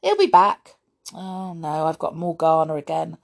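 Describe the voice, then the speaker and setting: A woman's voice: sits low, big pitch swings, unhurried, creaky, a little mellow, exaggerated voice. A woman voicing a blunt, chatty young wizard boy.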